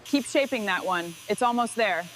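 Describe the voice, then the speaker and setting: A woman's voice: medium pitch, lively intonation, normal speed, a bit bright, slight background noise, poor audio. A woman giving short, scripted work instructions as part of a restoration crew. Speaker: workshop crew member directing truck restoration.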